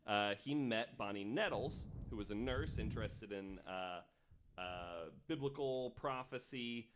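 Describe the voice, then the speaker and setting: A man's voice: on the low side, a little slow, slight background noise. A man hosting a podcast, telling stories from notes in an informal, casual way. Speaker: podcast host.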